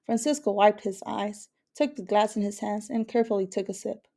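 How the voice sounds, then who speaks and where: A woman's voice: medium pitch, brisk, vocal fry, mellow. A woman reading a children's picture book aloud as a storyteller. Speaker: story reader.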